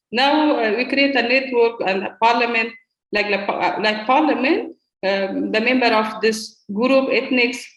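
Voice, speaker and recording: A woman parliamentarian speaking halting, non-native English at a roundtable, with many pauses and fillers. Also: fairly high pitch, roomy sound, lo-fi sound. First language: not English. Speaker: Afghan parliamentarian in exile. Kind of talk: roundtable remarks.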